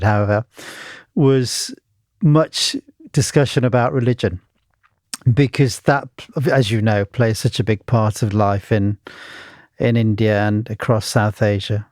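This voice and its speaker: Male host from Pakistan, mid-pitched voice, courteous and affirming, dry close sound. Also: darkish tone, no echo. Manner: polite, affirming, repeats words. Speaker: interview host. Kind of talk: conversational interviewing.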